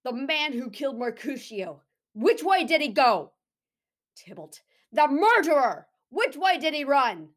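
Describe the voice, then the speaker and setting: An urgent officer of the watch played by a woman in a Shakespeare audio drama. The voice is high and swoops animatedly while issuing commands with authority. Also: sing-song, unhurried. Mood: urgent, commanding.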